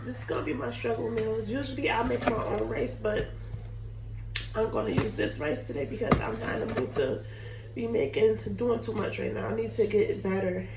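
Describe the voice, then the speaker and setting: A young woman talks casually to her viewers from her home kitchen, her voice hoarse and fading from illness. Her marks her easy, chatty delivery. She stands away from the mic, and the bare room adds a roomy echo. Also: medium pitch, far from the mic, dull audio, very noisy. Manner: chatty, apologetic, addresses viewers as "guys". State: sick, losing her voice, hoarse. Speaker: cooking vlogger. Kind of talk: casual chat to camera.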